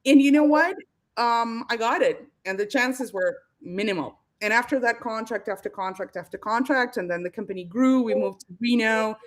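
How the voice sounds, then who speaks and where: A woman from Argentina with an Argentine accent, a guest telling her career story in a casual interview, lively and laughing between phrases. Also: on the high side, normal speed. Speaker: guest, space company founder.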